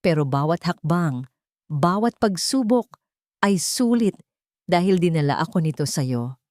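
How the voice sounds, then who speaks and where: A woman voicing a loving, devoted husband and father in an audio drama, speaking tenderly and softly. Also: on the low side, expressive, a little slow, no echo, clean studio sound.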